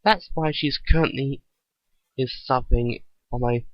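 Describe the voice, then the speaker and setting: A man's voice: medium pitch, fairly even intonation, unhurried, dry recording, highs cut off, lightly acted. A man voicing a confident doctor in a story reading, clinical and explanatory. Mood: assured. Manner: assured, explanatory.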